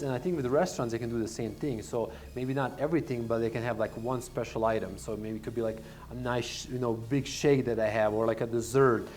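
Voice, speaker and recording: Fast-talking man from Serbia. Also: mid-range voice, a little mellow. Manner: rambling, many fillers and restarts. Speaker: restaurant owner. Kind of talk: casual interview answers.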